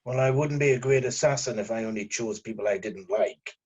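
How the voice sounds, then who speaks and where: A man playing a cautious, businesslike assassin in his late thirties at an amateur table read, clipped, deadpan and suspicious. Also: on the low side, brisk, slightly rough. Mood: confused. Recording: dull lo-fi voice recording.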